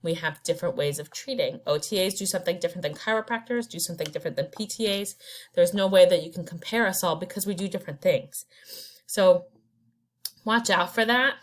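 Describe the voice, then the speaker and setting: A woman's voice: fairly low pitch, a little fast. A woman teaches from her slides. She keeps it conversational and unpolished, with fillers and restarts between her points. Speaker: instructor. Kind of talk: recorded slide lecture.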